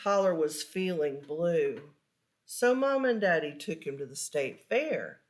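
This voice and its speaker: A woman reading a children's storybook aloud with expressive narration and deliberate, animated emphasis on key words. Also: low-pitched. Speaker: storybook reader.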